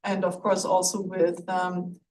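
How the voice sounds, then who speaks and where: A woman's voice: lowish voice, monotone, measured pace, a little mellow. A woman from Germany with a German accent, making a hesitant, roundabout and polite academic comment as an audience member. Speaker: visiting fellow, audience questioner. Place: lecture room audience. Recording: distant mic, faint room noise.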